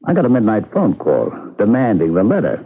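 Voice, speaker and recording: A blunt, upright mailman in a vintage radio mystery. The voice is low and rough-edged, skeptical and stubborn, heard through a thin, band-limited broadcast. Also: wildly swooping pitch, a little fast, narrow, tinny audio, heavily acted. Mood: firm, skeptical. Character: stubborn, upright working-class postman, blunt and dogged. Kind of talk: 1970s radio mystery acting.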